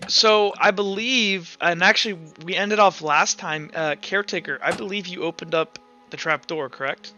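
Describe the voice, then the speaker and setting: A man's voice: sits high, normal speed, few pauses, bright, forceful tone, dull audio, soft background music. A man hosting an online tabletop game as game master, chatting casually and often repeating words as he stammers along. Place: online voice call.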